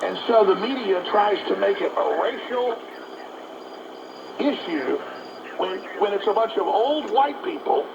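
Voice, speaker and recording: A man's voice: high voice, expressive, unhurried, gravelly, long pauses. A man hosting a talk show, delivering an indignant, emphatic political commentary on a muffled, noisy shortwave radio broadcast.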